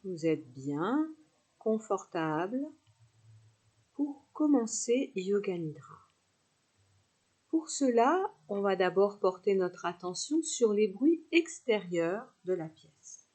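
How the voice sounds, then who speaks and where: A woman guides a relaxation meditation at a very slow pace, leaving pauses between her instructions. Her voice is mellow and husky, with a soothing, unhurried delivery suited to a yoga nidra class. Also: mid-range voice, sing-song.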